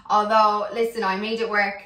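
A woman's voice: medium pitch, level tone, normal speed, pushed and bright. A woman in her twenties, originally from Slovakia and raised in Ireland, with Slovak as her first language, speaking earnestly to camera in a personal vlog. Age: 20s. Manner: earnest, candid, reflective. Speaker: fitness coach. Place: bright indoor room at home. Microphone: camera mic from a few feet away. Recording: roomy, a bit distant.

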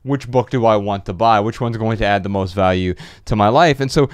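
A man's voice: fairly low pitch, brisk, dry recording. A man in his late thirties hosting a conversational podcast, speaking close to a studio microphone. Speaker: podcast host. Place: small dark podcast studio. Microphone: studio microphone on boom arm, close.

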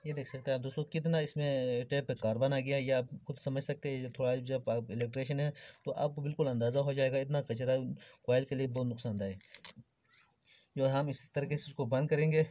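A man's voice: medium pitch, fairly even intonation, brisk, dark tone. A man giving an informal hands-on repair tutorial, explaining things step by step and addressing viewers as friends. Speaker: electrical repair tutorial host. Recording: clearly noisy background with clicks.

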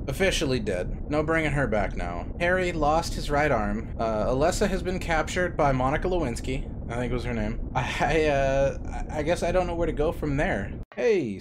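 Young male streamer on a headset, slightly high voice, wild swings in tone, foul-mouthed jokes, faint hiss behind. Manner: joking, profane, loud excited reactions. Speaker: game streamer. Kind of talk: casual live-stream commentary. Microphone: headset mic.

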